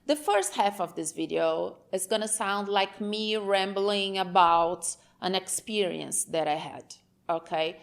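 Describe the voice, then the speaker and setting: A Brazilian woman talks straight to the camera in English, her second language, with a Brazilian Portuguese accent. She is unhurried and middling in pitch. Her talk is frank and a little rambling, and she keeps checking in with the listener. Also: creaky, soft background music. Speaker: channel host.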